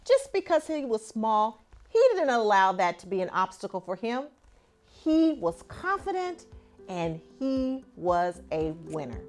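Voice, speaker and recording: A middle-aged woman reading a children's picture book aloud in a deliberate, emphatic, theatrical way. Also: on the high side, very animated, soft background music.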